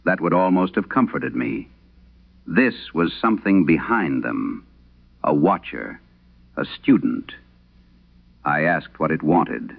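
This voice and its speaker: A male actor as a haunted old Irish priest confessing in a horror radio play. His low voice is gravelly and grave, rising and falling dramatically as he speaks in measured, solemn phrases. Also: expressive, no room sound, full character voice. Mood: dread, brooding. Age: older. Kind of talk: dramatic first-person horror radio monologue. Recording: dull lo-fi vintage radio sound.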